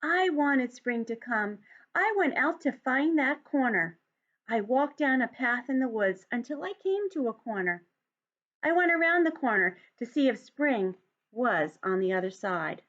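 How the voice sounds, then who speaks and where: A friendly, patient frog from a children's storybook , read by a woman, with a high voice. He tells his tale in a measured, expressive way. The sound is slightly dull and lo-fi. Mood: wistful, storytelling. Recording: dull, lo-fi home recording.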